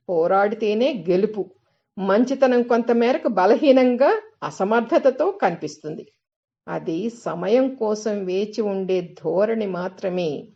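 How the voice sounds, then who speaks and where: Dull-sounding recording of a mellow woman narrator. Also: on the high side. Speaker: reader of literary excerpts. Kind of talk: scripted spiritual essay narration.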